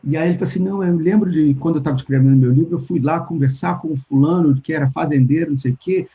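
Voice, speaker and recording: A man's voice: fairly high pitch, rapid-fire, mellow. A Brazilian man talking as a writer in a casual interview. He thinks aloud, restarts often, and acts out the things people said to him. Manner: thoughtful, restarts often, acts out quoted exchanges. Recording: dull lo-fi call audio.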